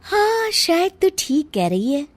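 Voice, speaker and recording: Woman acting a high-pitched sassy young daughter-in-law. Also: very animated, slow pace, no room sound, exaggerated voice. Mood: persuasive. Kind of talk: animated Hindi moral story acting.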